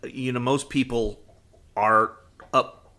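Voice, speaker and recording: Bright-voiced man in his fifties, podcast co-host. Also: medium pitch, fairly even intonation, takes it slowly. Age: 50s. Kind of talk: casual reminiscing fan chat.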